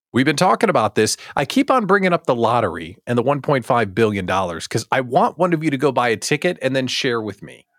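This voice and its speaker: A man hosts an upbeat live morning show with a very lively, swooping delivery. He mixes casual chatter with theatrical announcer flourishes and keeps putting questions to his listeners. The sound is close and dry. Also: medium pitch, dry recording. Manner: chatty, addresses listeners directly with rhetorical questions. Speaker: host of a daily live small business show. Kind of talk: upbeat livestream show hosting.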